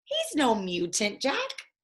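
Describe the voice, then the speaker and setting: A woman's voice: a little high, slow, exaggerated voice. A woman voicing an eager, trusting, insistent young girl who loves animals, in a children's storybook. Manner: insistent, excitable.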